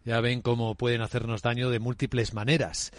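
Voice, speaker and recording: A man hosts a radio interview from a studio, speaking in a measured, clear presenter style. His pitch is middle-range, and the sound is dry and close. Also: level tone, no echo. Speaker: radio host.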